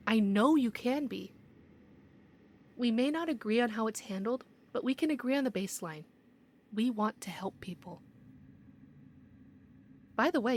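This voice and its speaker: A woman playing a stern, by-the-book city investigator in an audio roleplay, speaking in a measured, authoritative, admonishing way. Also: on the high side, a little hiss or hum, natural voice.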